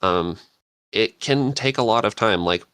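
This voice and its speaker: A man giving casual advice as a podcast panelist, halting and thinking aloud as he goes. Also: lowish voice, fairly bright tone, clear, resonant tone, dry recording. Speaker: podcast panelist, script writer.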